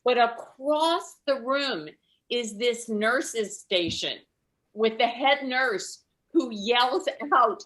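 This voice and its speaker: Slow-paced, higher-voiced middle-aged woman comedian. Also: a bit bright. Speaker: stand-up comedian. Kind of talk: stand-up comedy storytelling. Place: online video event.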